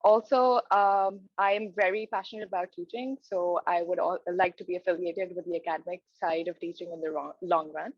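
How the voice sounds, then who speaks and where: A woman's voice: lowish voice, fairly even intonation, a little fast, few pauses, no echo, lo-fi sound. A young woman from Pakistan, a residency applicant, answering mock interview questions earnestly with frequent hesitant fillers and restarts over a video call.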